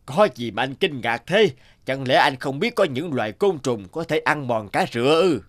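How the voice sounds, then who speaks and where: A man voicing a greedy, smug rich landlord in an animated folk tale, with a drawling, mock-regretful manner. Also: mid-range voice, moderate pace, lightly acted.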